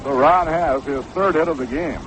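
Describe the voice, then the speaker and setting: An American man calling baseball play-by-play in 1960s radio style, with a measured, unhurried, factual delivery. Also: a little high, a bit bright. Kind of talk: 1960s live radio sports commentary. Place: ballpark broadcast booth. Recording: dull, noisy 1960s AM radio broadcast.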